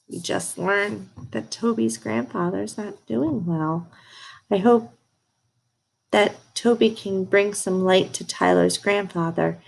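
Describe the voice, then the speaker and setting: A woman reading a storybook aloud to young listeners in an expressive, direct way, as a read-aloud host. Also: medium pitch, gravelly, low-quality recording.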